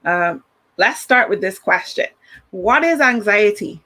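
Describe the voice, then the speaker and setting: A woman's voice: medium pitch, lively intonation, measured pace, sharp tone. Woman, podcast host, upbeat, speaks directly to viewers. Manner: upbeat, addresses the audience directly. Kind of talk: live podcast hosting.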